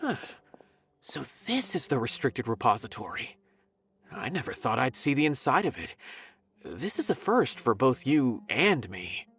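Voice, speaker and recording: Courteous young scholar. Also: male voice, mid-range voice, big pitch swings, unhurried, fairly bright tone, husky, exaggerated voice. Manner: courteous, explanatory. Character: polite male scholar guide, earnest and apologetic. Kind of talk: game cutscene voice acting.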